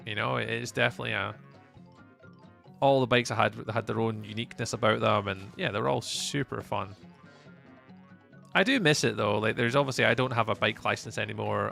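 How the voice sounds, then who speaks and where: A man's voice: fairly low pitch, creaky, pushed and bright. A Scottish man streaming live, chatting casually with his viewers in a rambling way, full of fillers and restarts. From Scotland. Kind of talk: casual chat with live chat while gaming.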